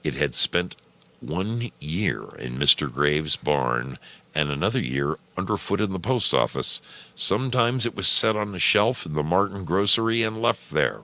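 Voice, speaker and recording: A man narrating a short story from a script, with deliberate, measured phrasing and pauses between phrases. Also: deep voice, expressive, pushed and bright. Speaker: storyteller and program host.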